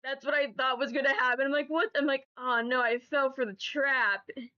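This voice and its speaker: A woman's voice: high voice, steady tone, fairly quick, clear, resonant tone, highs cut off. A woman streamer with a stuffy nose from allergies, chatting casually and rambling to her chat. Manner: rambling, chatty, repeats herself.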